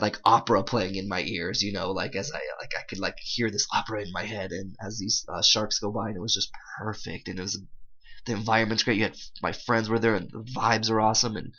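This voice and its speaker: A middle-aged man doing a casual, halting interview voice-over with informal, slangy phrasing, as if interpreting live. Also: lowish voice, pushed and bright, muffled recording.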